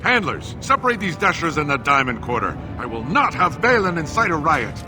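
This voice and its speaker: Formal, expressive dwarven official. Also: male voice, fairly high pitch, over music. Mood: commanding. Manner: formal, measured, commanding. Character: formal dwarven official, authoritative, guarded toward outsiders. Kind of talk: fantasy video game voice acting.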